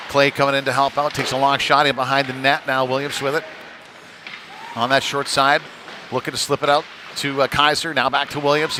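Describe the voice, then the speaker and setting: Male hockey play-by-play caller, mid-pitched, informal and conversational, with clear venue noise behind him. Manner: conversational, informal, tag questions. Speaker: ice hockey play-by-play commentator. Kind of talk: live sports commentary. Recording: clearly noisy venue ambience.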